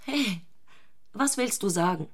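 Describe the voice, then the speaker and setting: A woman's voice: mid-range voice, lively intonation, slow, husky. An actress playing a fervent, provocative young woman in a literary radio drama, speaking intimately and insistently. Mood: demanding. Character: fervent, provocative young woman pressing her male friend.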